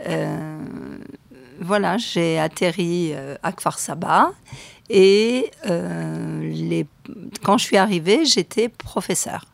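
A woman's voice: on the low side, slow pace, creaky, no room sound. A middle-aged woman from France, a guest on a radio show, talking in a chatty, hesitant way with many fillers. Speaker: guest, real estate agent. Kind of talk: radio interview.

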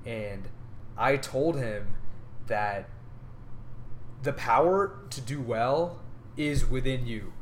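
A man's voice: medium pitch, takes it slowly, long pauses, slight background noise. A young man co-hosting a casual podcast, talking in an earnest, rambling, upbeat way. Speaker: podcast co-host. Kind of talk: casual chat.

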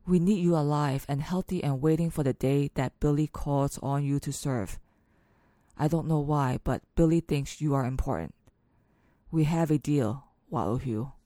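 A woman playing a cool, imperious revolutionary agent in a radio drama, speaking in a measured, dark and mellow voice. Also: low-pitched, dry recording, clean studio sound, natural voice. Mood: cold, commanding.

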